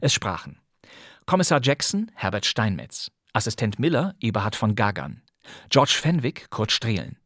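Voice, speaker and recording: A man hosting a crime podcast, calmly announcing credits and background. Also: lowish voice, moderate pace, dry recording, clean studio sound. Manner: calm, informative announcing. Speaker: podcast host. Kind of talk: podcast narration.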